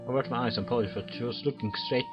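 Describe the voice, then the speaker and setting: A man's voice: medium pitch, steady tone, rapid-fire, dull audio. A man reading visual novel text aloud as a let's play host, often stumbling over words and restarting. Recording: clear game music behind voice. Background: game music.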